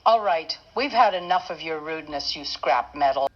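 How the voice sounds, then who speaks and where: Woman acting old cartoon coach, female, indignant, scolding, slightly low voice, lo-fi sound. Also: lively intonation, moderate pace. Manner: scolding, prim. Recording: dull, slightly noisy lo-fi microphone.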